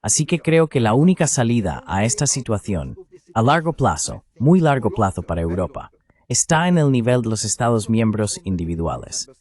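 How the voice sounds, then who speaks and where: A man giving a Spanish voice-over of interview answers, explaining political analysis in a deliberate way with short emphatic phrases. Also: on the low side, big pitch swings, darkish tone.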